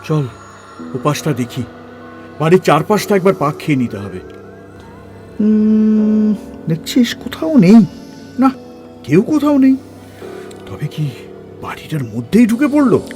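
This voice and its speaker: Animated, high-pitched bossy man. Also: a little slow, pauses often, soft background music. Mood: curious. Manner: animated, commanding, colloquial. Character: bossy, curious man in charge, exploring a ruin. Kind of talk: Bengali audio story acting.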